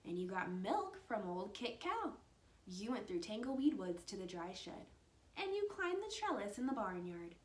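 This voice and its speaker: A woman voicing a kindly, encouraging old country grandmother in a storybook, tender, coaxing and expressive. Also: medium pitch, normal speed, close to an everyday voice. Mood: reassuring. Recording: roomy echo with faint background noise.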